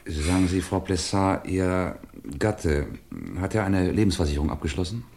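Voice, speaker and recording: An actor playing a composed, probing police commissioner in a German radio crime drama, speaking in a measured, courteous way. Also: male voice, deep voice, darkish tone. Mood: formal, probing.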